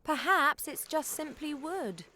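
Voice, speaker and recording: Sarcastic game heroine, curt, dry, high voice. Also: female voice, animated, measured pace, sharp tone, slight background noise, full character voice. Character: dry, sarcastic female elf adventurer. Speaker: game character. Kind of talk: adventure game voice acting.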